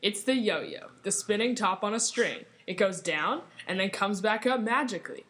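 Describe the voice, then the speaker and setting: Girl doing an old twenties radio ad lady, cheery sales pitch, medium voice. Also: animated, normal speed, a bit bright, natural voice. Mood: cheery, salesy. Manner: salesy, enthusiastic. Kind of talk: scripted 1920s radio advertisement.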